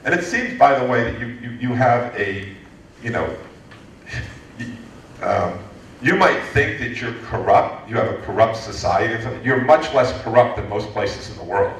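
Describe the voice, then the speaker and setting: A man in his fifties from the United States, answering an audience question at a lecture in a lively, joking way with many restarts. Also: mid-range voice, a little slow, a little hiss or hum. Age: 50s. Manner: animated, joking, frequent restarts. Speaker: guest speaker, entrepreneurship lecturer. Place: lecture hall. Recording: echoey hall from far off.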